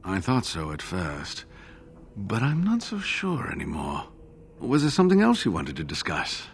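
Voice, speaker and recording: A man playing an injured, earnest scholarly monk in a video game, speaking in a halting, expressive way. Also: deep voice, slight background noise, full character voice. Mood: thoughtful, wary. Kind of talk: dramatic video game dialogue.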